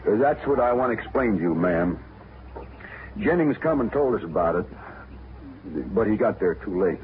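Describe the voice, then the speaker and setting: An actor playing a plainspoken frontier rancher who leads a lynching, with a slow rural drawl, in a 1950s radio drama. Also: male voice, lowish voice, lively intonation, close to an everyday voice. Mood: awkward. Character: rough frontier man leading a lynching, plainspoken. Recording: tinny old radio broadcast with hiss.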